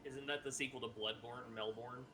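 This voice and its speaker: A man's voice: mid-range voice, steady tone, moderate pace. A man chatting casually and playfully with his co-op partner during a video game, over noisy game-chat audio. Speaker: co-op gaming partner, streamer.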